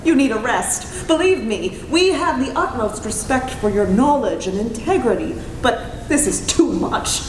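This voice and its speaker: A woman acts a skeptical, authoritative alien council leader in a radio play. Her high voice swings animatedly in an echoey space with faint noise. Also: expressive, measured pace, few pauses. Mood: dismissive. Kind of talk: 1940s-style radio acting.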